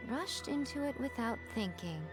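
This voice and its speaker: A woman's voice: a little high, expressive, moderate pace, close to an everyday voice. A woman voicing a sly, haughty female priestess in a video game, arch and condescending with a playful, teasing drawl. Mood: wry. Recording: music behind the voice.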